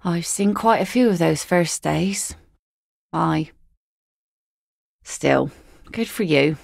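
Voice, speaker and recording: A woman voicing an easygoing, philosophical seafaring mother in a video game, with a wry, gently teasing, folksy manner. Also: sits low, animated, slow pace, creaky, no echo, studio quality, full character voice. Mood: wry, gentle. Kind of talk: naturalistic video game dialogue acting.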